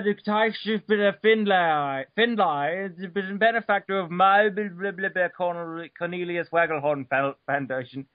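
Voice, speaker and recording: A man's voice: sits high, no room sound, muffled recording. A man giving a mock-dramatic reading of an article, theatrical and stumbling over names with blah blah. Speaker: reader.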